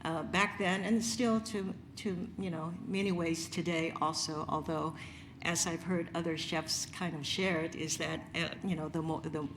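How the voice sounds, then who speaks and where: A woman's voice: deep voice, steady tone, moderate pace, noisy background. A middle-aged woman from Vietnam, a chef giving a conference talk, conversational with many fillers and restarts. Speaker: chef presenter. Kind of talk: conference presentation.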